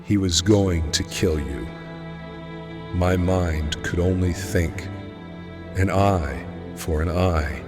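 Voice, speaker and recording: An actor playing a guilt-ridden, despairing friar in an audio drama, grave and halting. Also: male voice, deep voice. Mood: anguished confession. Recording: long reverb, music underneath. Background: music.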